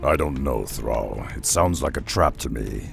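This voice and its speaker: A man's voice: a bass voice, animated, unhurried, over music, exaggerated voice. A man voicing a blunt, loyal male half-ogre beast hunter in a video game cutscene. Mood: gruff. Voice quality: very gravelly. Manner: blunt, terse and gruff.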